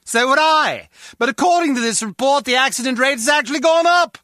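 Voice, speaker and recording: An actor playing a fussy, formal, exasperated company boss in a video game. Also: male voice, extremely high pitch, expressive, a little fast, sharp tone, no echo, clean studio sound, heavily acted.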